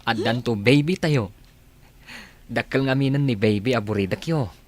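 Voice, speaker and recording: An actor with an Ilocano accent playing an affectionate young elder brother in a radio drama. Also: male voice, medium pitch, normal speed, a little mellow, lightly acted. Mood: warm, pleased.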